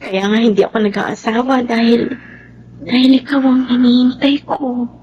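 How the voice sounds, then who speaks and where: A woman's voice: a little high, fairly even intonation, normal speed, natural voice. A woman with a Filipino accent acting a devoted woman in love in a radio drama, tender and heartfelt. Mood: tender, loving. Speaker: drama actress. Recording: dull, noisy lo-fi audio.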